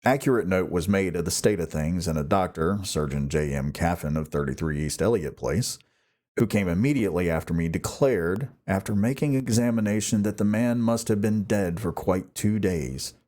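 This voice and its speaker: A man's voice: low voice, moderate pace, a little mellow. A man reading a classic novel aloud as scripted narration. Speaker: audiobook reader.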